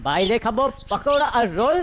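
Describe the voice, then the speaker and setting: A woman's voice: on the high side, expressive, a little fast, a little hiss or hum, close to an everyday voice. A woman voicing a crafty, food-loving boy in a children's cartoon, dramatic and playful with rhymes. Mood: self-satisfied. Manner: dramatic, rhyming wordplay.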